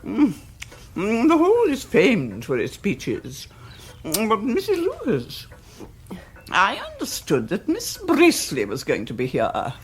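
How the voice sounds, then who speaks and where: Pompous upper class english dowager, slow n stately, voice swoops all over, radio comedy. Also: female voice, medium pitch, wildly swooping pitch, slight background noise. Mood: haughty. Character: imperious, self-satisfied aristocratic older English lady. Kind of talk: radio comedy drama acting.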